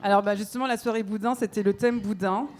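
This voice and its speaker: A woman in her thirties who grew up in Belgium, talking in a loose, chatty way. She fills her sentences with fillers and laughs easily. Her voice sits at an ordinary middle pitch. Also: steady tone, fast, a little mellow, slight background noise. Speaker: dating show contestant. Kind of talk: casual chat.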